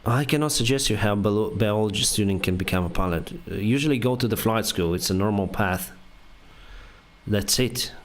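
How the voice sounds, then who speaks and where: A young man speaking English as a second language, his first being Russian, with a lowish voice. He talks haltingly, pausing on fillers and restarting phrases, and reads out each viewer question before he answers it in a casual live-stream chat.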